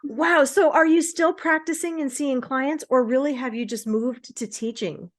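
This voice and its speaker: A woman's voice: high voice, normal speed. A woman hosting a podcast, asking casual interview questions and reacting with exclamations. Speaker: podcast host.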